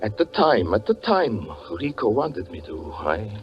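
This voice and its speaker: An anguished, brooding magician confessing his obsession in 1940s radio suspense style. His delivery is theatrical and intense, with halting pauses and sweeping pitch. The broadcast sounds muffled and old, with music behind him. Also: very animated. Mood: grief-stricken.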